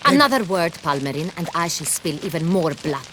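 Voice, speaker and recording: An actress playing a proud, imperious duchess in a fantasy game, with a formal, commanding manner. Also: female voice, fairly low pitch, sing-song, normal speed, slight background noise. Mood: cold, commanding. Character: imperious, proud duchess, protective of her sister. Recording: loud game music behind.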